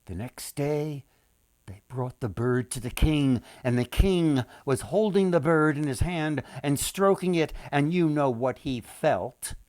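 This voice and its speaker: An older man reading a children's story expressively, with dramatic pauses, addressing his listeners directly. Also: fairly high pitch, lively intonation, darkish tone, dry recording. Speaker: author and storyteller.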